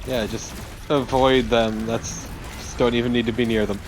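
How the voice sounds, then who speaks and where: A man's voice: medium pitch, little pitch movement, normal speed, background noise. A man co-commentating a video game with casual, wry banter, joking and swearing. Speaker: co-commentator on a let's play. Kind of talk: casual banter over gameplay.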